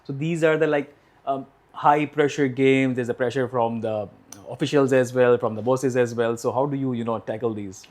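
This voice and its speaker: A man hosting a podcast who asks polite, open questions, sometimes pausing to search for words. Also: medium pitch, fairly even intonation, darkish tone. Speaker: podcast host, interviewer. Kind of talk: interview questions.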